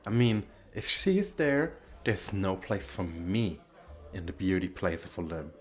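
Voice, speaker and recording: Man playing a slow, vain male model. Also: deep voice, very animated. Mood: preening, flirtatious. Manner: boastful, theatrical, coquettish, with drawn-out pauses. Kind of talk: flirtatious ASMR roleplay monologue.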